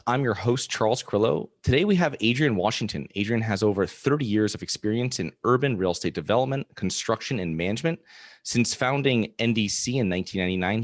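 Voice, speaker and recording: A male podcast host with a fairly low voice rattles through his introduction and questions at a fast clip. His sound is dry and close to the mic. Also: no room sound. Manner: brisk, scripted introductions. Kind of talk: interview hosting.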